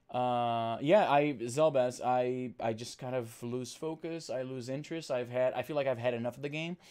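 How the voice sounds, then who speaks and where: A man from Brazil whose first language is Portuguese, chatting casually on a livestream and reading out chat, rambling with restarts and fillers while talking deliberately slower. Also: medium pitch, a little mellow. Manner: trained to talk slower on camera.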